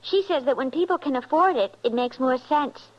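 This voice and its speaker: A woman's voice: sits high, normal speed. A woman acting a chatty, exasperated American housewife in an old radio advertisement sketch, insistent and chattering. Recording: muffled vintage radio recording.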